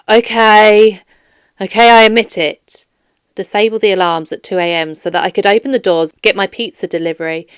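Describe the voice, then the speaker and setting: A woman's voice: medium pitch, steady tone, moderate pace, smooth, dry recording, pitched below the performer's own voice, close to an everyday voice. A woman voicing a flustered, defensive male security guard in a children's audiobook, pleading and protesting emphatically. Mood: guilty, sheepish. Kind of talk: children's audiobook character dialogue.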